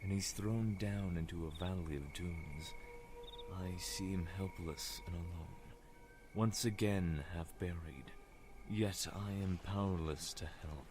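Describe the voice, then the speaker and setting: A haunted, brooding man recounts his dreams in a low, deep voice performed by a male actor. The delivery is solemn first-person audio drama narration, with music clearly playing behind it. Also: measured pace.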